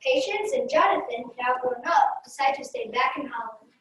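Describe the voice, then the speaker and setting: A woman's voice: high voice, lively intonation, moderate pace, fairly bright tone. A woman reading scripted narration aloud for a readers theater play. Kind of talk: scripted read-aloud narration with acted dialogue. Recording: echoey room, distant mic, faint noise.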